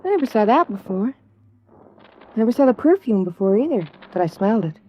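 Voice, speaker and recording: Guarded American roommate, muffled radio sound. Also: female voice, on the high side, sing-song, brisk. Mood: hesitant, uneasy. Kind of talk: 1950s radio drama acting. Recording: dull old radio recording.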